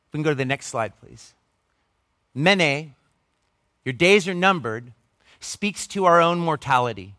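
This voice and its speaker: Male pastor, forties, fairly high register, conversational and emphatic preaching with self-mocking humor, close dry recording. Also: fairly bright tone, no echo. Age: 40s. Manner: earnest, emphatic, with self-deprecating humor and asides. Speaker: pastor preaching to his church. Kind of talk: conversational sermon.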